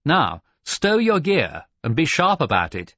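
A man's voice: a little high, wildly swooping pitch, unhurried, sharp tone, breathy edge, dry recording, muffled recording, pitched above the performer's own voice, heavily acted. A man voicing a stiff, officious and eager young naval lieutenant in an audiobook sea story. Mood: officious, sharp.

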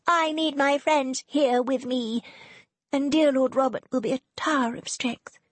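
A woman's voice: high-pitched, steady tone, unhurried, slightly rough, dry recording, pitched above the performer's own voice. A woman voicing a petulant, melodramatic young society lady who wails and gives orders. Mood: imperious. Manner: whiny, imperious, melodramatic.

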